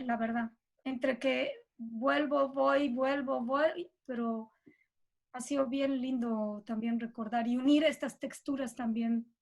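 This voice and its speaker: High-pitched middle-aged Bolivian woman, reflective. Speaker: composer being interviewed. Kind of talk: reflective, informal interview talk.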